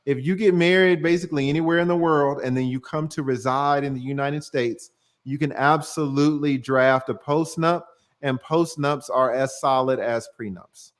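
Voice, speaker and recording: Higher-voiced man explaining divorce law. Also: moderate pace. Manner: plain-spoken, explanatory, uses everyday examples. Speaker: divorce attorney, guest. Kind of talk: explaining law on a call-in livestream.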